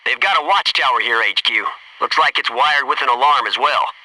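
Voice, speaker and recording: An actor playing a focused, businesslike Navy SEAL in a military video game, giving terse, clipped tactical callouts and matter-of-fact reports. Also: male voice, medium pitch, lively intonation, a little fast, sharp tone, distant mic, a little hiss or hum, poor audio. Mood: businesslike reporting.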